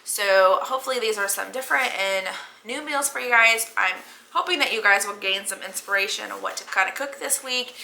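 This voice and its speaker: A hoarse woman in her thirties hosting a casual cooking vlog, chatty and full of ums and likes. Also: mid-range voice, a little fast, sharp tone, slight background noise, poor audio. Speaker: cooking channel host.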